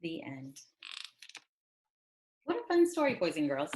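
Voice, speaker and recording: A woman reading a children's story aloud as a teacher, with expressive, dramatic delivery. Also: low voice, unhurried, heavy background noise.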